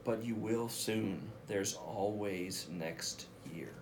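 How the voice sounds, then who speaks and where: A man voicing a gentle, comforting mother reindeer in a children's storybook, with a soothing manner. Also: on the low side, takes it slowly, noisy background.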